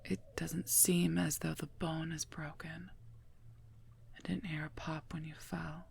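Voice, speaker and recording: A woman playing a stern, protective werewolf knight in a whispered ASMR roleplay, speaking formally and softly in a breathy voice, gently scolding and earnest, close to the microphone. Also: low-pitched, little pitch movement, a little slow, exaggerated voice. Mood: careful, concerned.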